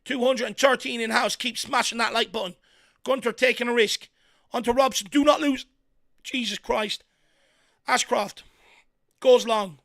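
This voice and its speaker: An Irish man hosting a fan watchalong, giving excitable partisan live commentary on a football match with a croaky, worn voice. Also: very high voice, moderate pace, long pauses. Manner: excitable partisan, shouts encouragement at players. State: voice going croaky from hours of talking.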